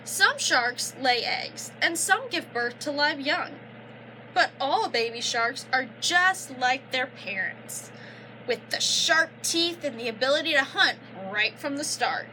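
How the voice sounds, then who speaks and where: Young woman teacher doing a kids book read aloud, really high lively voice, goes slow, some noise behind. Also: poor audio. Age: young adult. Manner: instructive, prompting listeners with questions and affirmations. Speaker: student teacher reading aloud. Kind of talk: children's picture book read-aloud with explanations and questions.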